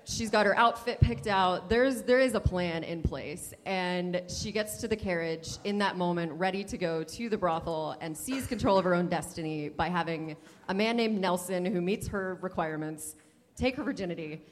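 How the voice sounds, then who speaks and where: A woman's voice: on the low side, normal speed. A woman chatting casually and enthusiastically about books as co-host of a podcast, speaking informally to a live audience. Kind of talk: casual book chat before a live audience. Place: indoor hall at a live podcast event. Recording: echoey hall, live event.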